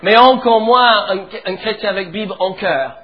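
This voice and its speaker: English-accented man preaching, high voice. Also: normal speed. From England. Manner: animated preaching, leads the congregation. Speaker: pastor. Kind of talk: sermon in church. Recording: tinny phone-like sound with faint music.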